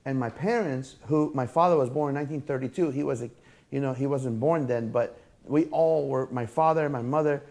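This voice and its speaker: A man's voice: medium pitch, a little fast, creaky, a little mellow. A man from Syria chatting casually as an interview guest, talkative and jokey, often repeating his phrases. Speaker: guest, fair fried-food vendor. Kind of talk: casual interview chat, storytelling.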